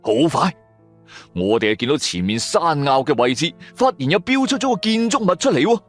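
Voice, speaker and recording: A man tells a dramatic supernatural tale in colloquial Cantonese-accented speech. His pitch swings widely as he narrates, and soft music plays behind him. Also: a little fast. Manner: animated, colloquial storytelling. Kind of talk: dramatic first-person supernatural story narration. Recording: music bed under the voice. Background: background music.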